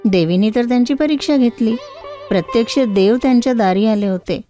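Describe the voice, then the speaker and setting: A woman's voice: a little high, a little fast, dark tone. A woman narrating a scripted moral tale for children as a storyteller. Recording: music behind the voice. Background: background music.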